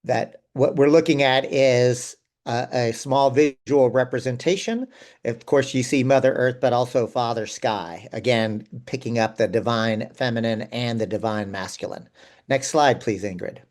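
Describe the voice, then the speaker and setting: A man's voice: medium pitch, fairly even intonation, normal speed, a little mellow. A man leading a participatory online workshop over a video call, inviting people in and thinking aloud with fillers. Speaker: workshop facilitator.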